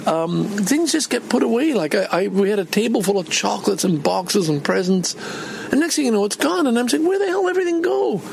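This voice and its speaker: A man's voice: high-pitched, animated, fairly quick, gravelly, darkish tone, slight background noise, rough recording. An older man from Canada in a rambling personal vlog, meandering and chatty with many restarts. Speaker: vlogger.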